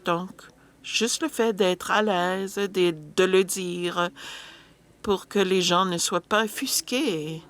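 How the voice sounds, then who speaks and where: A woman's voice: on the low side, slow, a bit bright. A woman interpreting a panel discussion live, hesitant, with frequent filler sounds and restarts.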